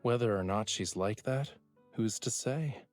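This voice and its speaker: Man acting as a low-voiced, teasing young lover. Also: a little slow, close to an everyday voice. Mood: playful. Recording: music behind the voice.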